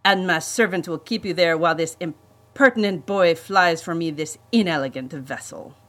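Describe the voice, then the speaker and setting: A woman voicing a pompous, menacing male villain, a vain botanist, in a storybook reading with slow, emphatic delivery. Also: on the low side, a bit bright, lightly acted. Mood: haughty, scornful.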